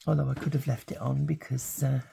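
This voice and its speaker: An older woman, croaky with hay fever, chatting casually as she works through a craft tutorial. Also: very deep voice, a little fast, a little hiss or hum. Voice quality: gravelly. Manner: chatty, relaxed, thinking aloud. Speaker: craft channel host.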